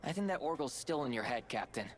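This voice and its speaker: A man's voice: mid-range voice, expressive, fast, slight background noise, natural voice. A man playing an earnest, skeptical young hero in a video game, with a direct manner. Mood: suspicious. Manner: direct, questioning, businesslike.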